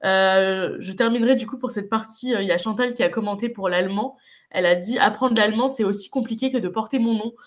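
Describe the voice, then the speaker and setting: A woman's voice: medium pitch, fast. A woman hosting a live interview who affirms the guest and sums up her points. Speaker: live-stream host, interviewer.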